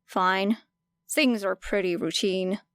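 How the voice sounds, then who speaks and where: A woman's voice: medium pitch, steady tone, slow, clear, resonant tone, dry recording, close to an everyday voice. A woman voicing a weary, guarded teenage girl student who speaks curtly, in an audiobook. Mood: flat, guarded. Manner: terse, slightly harsh. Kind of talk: audiobook character voice.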